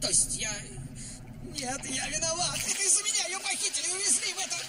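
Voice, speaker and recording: An actor playing an anxious, fretful clownfish father in an animated film, fussy and rambling. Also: very high-pitched, fast talker, soft background music, heavily acted. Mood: defensive, fretful.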